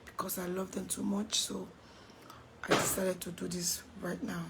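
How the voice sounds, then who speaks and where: A woman with a Nigerian accent who has lost her voice, speaking hoarsely and slowly, repeating herself and dropping into whispers. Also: lowish voice, flat delivery, very noisy. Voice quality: raspy, cracked voice. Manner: slow, halting, repeats herself, drops to whispers. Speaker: vlogger making an apology video. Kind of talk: casual direct-to-camera apology.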